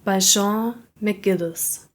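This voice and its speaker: A woman narrating a short story in a deliberate way with dramatic pauses. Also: mid-range voice, steady tone. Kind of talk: scripted narration, atmospheric storytelling. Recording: windy howling ambience behind voice. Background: added wind or howling ambience.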